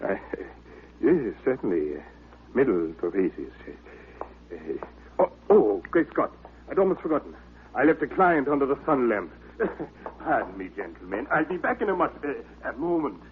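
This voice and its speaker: An actor playing a flustered, bluffing phony doctor, fussy and stammering, in an old-time radio drama. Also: male voice, medium pitch, big pitch swings, moderate pace, natural voice. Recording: muffled, noisy old radio broadcast.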